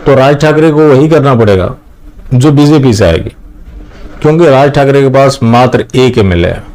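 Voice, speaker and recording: A man with a mid-pitched voice delivers political commentary as the host of a news channel. He addresses viewers directly, and his manner is critical and opinionated. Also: normal speed, a little mellow.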